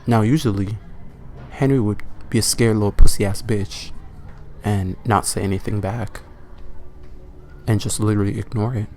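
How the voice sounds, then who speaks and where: Low-voiced man telling a sweary story. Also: steady tone, darkish tone. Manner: profane, informal, drawn-out emphasis. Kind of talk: casual comic horror narration.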